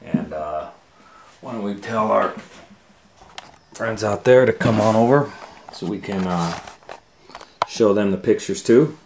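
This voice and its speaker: A man's voice: on the low side, expressive, measured pace, pauses often, darkish tone, a little hiss or hum. A man reading a picture book aloud to children as storytime host, with conversational asides to the listeners.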